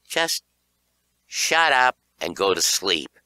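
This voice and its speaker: A man's voice: low-pitched, sing-song, slow, pushed and bright, no echo, exaggerated voice. A man voicing a young boy in a children's horror story, puzzled and skeptical with his friend. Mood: dismissive.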